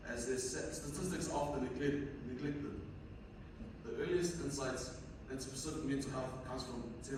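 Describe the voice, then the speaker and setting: Young Fijian man in a very echoey hall. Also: mid-range voice. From Fiji. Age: young adult. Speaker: undergraduate researcher presenting. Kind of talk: conference presentation, partly read from notes. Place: indoor conference room at a university. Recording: echoey hall, distant mic, noisy room.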